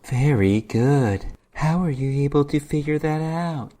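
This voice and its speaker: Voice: mid-range voice, unhurried, dark tone, voice pushed up, close to an everyday voice. A narrator voicing a bored, playful, menacing female demoness in a dramatic story reading. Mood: impressed, mocking.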